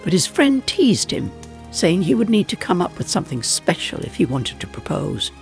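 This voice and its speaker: A man with a slightly high voice narrates a folk tale. His reading is dramatic and expressive, swinging in pitch, with music underneath. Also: normal speed, vocal fry. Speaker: storyteller narrator.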